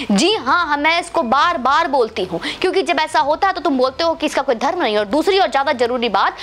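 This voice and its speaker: High-pitched woman, combative political commentary. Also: fairly bright tone, slight background noise. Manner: combative, sarcastic, rhetorical questioning. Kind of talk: opinion monologue to camera.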